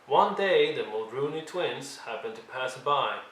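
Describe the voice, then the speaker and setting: A man's voice: mid-range voice, lively intonation, fairly bright tone. Man reading a children's story, roomy sound. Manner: deliberate, dramatic emphasis. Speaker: storyteller reading a picture book aloud. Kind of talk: expressive read-along narration for young children. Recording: roomy echo, faint background noise.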